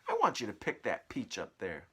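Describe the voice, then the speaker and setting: A man's voice: on the low side, wildly swooping pitch, a little fast, a bit bright, slightly rough, exaggerated voice. A man voicing a grumpy, greedy, disbelieving aunt from a children's storybook, blustering and scolding. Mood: greedy, bossy.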